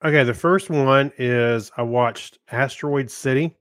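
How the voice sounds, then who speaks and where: A man co-hosting a podcast, giving casual reviews in a halting way as he searches for his words. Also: mid-range voice, fairly bright tone, no room sound, clean studio sound. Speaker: podcast co-host. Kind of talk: casual film review chat.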